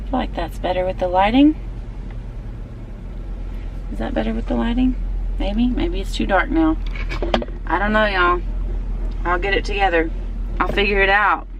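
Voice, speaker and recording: Southern woman vlogger, casual and rambling, middle pitch, recorded on the go in a car with street noise. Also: normal speed, gravelly, pauses often. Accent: Southern US (Alabama). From Alabama. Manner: rambling and chatty, many fillers. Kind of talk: casual chat to camera.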